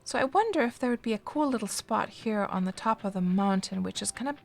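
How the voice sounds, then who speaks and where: A woman's voice: mid-range voice, expressive, fairly quick, gravelly. Chatty woman streaming a game. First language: Finnish. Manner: chatty, thinks aloud with many fillers and restarts. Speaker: game livestreamer. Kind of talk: casual livestream chat, answering viewers.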